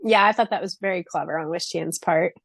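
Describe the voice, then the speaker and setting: A woman co-hosting a podcast in casual fan chat, expressive and giggly in a chatty way. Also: on the low side, fairly quick, gravelly, no echo, clean studio sound. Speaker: podcast co-host.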